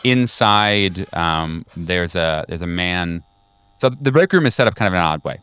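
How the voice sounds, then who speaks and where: A man narrating a tabletop horror game as game master, improvising in a conversational, halting, descriptive way. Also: sits low, lively intonation, fairly bright tone, clean tone, no room sound.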